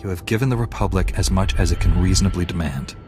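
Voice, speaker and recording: An actor playing a steady, principled Jedi knight in a video game, speaking in a measured, firm manner. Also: male voice, low voice, music in the background, lightly acted. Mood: grave, compassionate. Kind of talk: dramatic video game acting.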